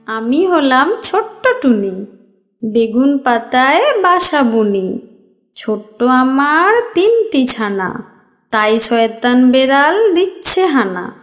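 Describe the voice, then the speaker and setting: Woman voicing a high sing-song little mother bird. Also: sing-song, slow pace, soft background music, full character voice. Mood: proud, wary. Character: small protective mother tailorbird, sweet-talking and defiant. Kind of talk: children's cartoon story dialogue in rhyme and speech.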